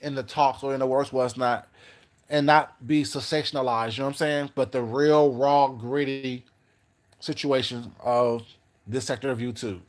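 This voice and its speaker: A man's voice: medium pitch, steady tone, moderate pace. A man hosting a casual livestream, rambling through his thoughts, repeating words and greeting viewers by name. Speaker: YouTube livestream host. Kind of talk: casual livestream chat reading comments.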